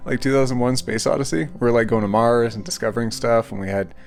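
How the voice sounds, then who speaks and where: A man's voice: medium pitch, quick pace, vocal fry, soft background music. A man hosting a show, reading posts aloud and chatting casually in a jokey, easily amused manner. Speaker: host.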